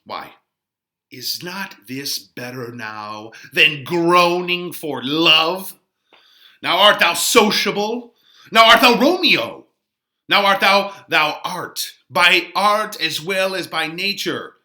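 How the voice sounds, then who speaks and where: Man playing a witty young gentleman, theatrical Shakespeare, teasing, emphatic, very bright, wide swings in pitch. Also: lively intonation, slow, heavily acted. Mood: teasing, exuberant. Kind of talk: dramatic Shakespeare reading.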